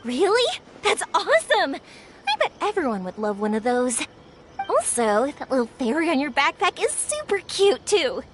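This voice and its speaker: A woman's voice: sits high, huge pitch swings, moderate pace, music in the background. A woman voicing a friendly young fireworks maker in an anime-style game, bubbly and enthusiastic.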